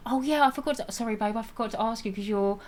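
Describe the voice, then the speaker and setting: A woman streaming a game, chatting casually with viewers and laughing often, her voice slightly raspy. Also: fairly high pitch, fast. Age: adult with a school-age child. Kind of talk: casual live-stream chat while playing.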